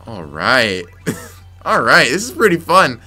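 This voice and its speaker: Young male let's-player, raspy from yelling, bright voice, faint game music underneath. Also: mid-range voice, wildly swooping pitch, takes it slowly. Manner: frustrated, muttering to himself, sudden yelps. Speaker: gameplay commentator. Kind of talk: let's play commentary.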